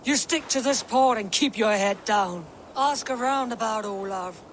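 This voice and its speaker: An actress playing a gruff, bossy Norse camp overseer in a video game, curt and scornful as she gives orders. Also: fairly high pitch, a little slow, slight background noise, natural voice. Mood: curt, commanding.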